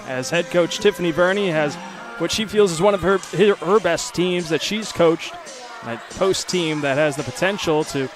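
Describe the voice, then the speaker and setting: A man calling a live volleyball match, his voice sitting a little high for a man. He moves steadily through lineups and player notes, tripping now and then over names. Music plays clearly in the background. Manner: steady informative delivery with occasional stumbles over names. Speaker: play-by-play announcer. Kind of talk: live sports commentary.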